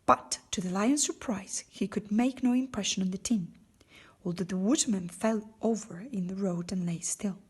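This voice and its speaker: A woman's voice: medium pitch, moderate pace, slightly rough. A woman narrating a children's storybook from a script with expression. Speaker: storybook reader.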